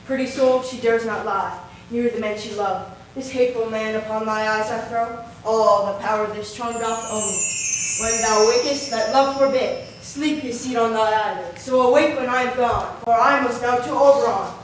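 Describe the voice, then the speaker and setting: A woman's voice: a little high, steady tone, normal speed, close to an everyday voice. Woman playing fairy Puck, distant and echoey. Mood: mischievous, incantatory. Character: Puck, mischievous fairy servant casting a love charm. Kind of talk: amateur stage performance of rhymed Shakespearean verse. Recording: very echoey hall from far off, faint noise.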